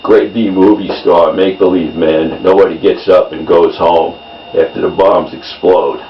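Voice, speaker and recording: A man reading his own poems in a measured delivery, in short phrases. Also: sits low, fairly even intonation. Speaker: poet. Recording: roomy, distant mic, dull sound, faint noise.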